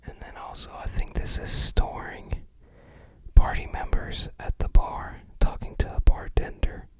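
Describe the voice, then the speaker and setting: An ASMR creator whispering unhurried, musing, slightly hesitant commentary while showing items to the viewer.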